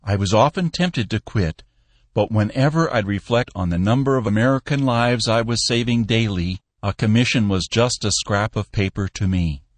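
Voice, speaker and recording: A man's voice: lowish voice, normal speed, no echo, natural voice. A man voicing a confident young ex-soldier salesman in an audiobook, bland, polite and unconcerned. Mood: blandly proud. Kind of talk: audiobook character voice.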